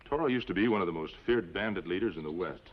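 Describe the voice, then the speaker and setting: An actor playing a calm, serious, authoritative hero in an old television western, speaking articulately. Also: male voice, low-pitched, quick pace. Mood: grave. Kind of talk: 1950s television western acting. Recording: muffled, noisy old TV western soundtrack.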